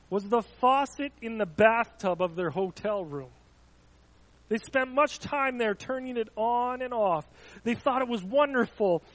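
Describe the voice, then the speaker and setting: A man's voice: very high voice, poor audio. A man preaching a sermon, telling everyday stories as illustrations, with frequent stumbling restarts.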